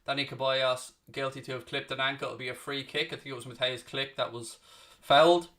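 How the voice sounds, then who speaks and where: A young Irishman with a Dublin accent and a bright, forward voice hosts a live football watchalong. He talks casually and bluntly, breaking off to call the action and answer viewers, swearing now and then. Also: mid-range voice, steady tone, a little fast. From Ireland. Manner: chatty, blunt, answers viewer questions between play-by-play calls, occasional swearing. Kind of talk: casual live match commentary and chat with viewers.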